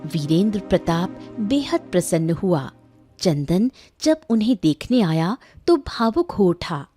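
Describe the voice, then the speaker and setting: A woman's voice: lowish voice, sing-song, measured pace, warm tone, no room sound, faint music behind. A woman narrating a scripted moral tale for a cartoon, as a storyteller.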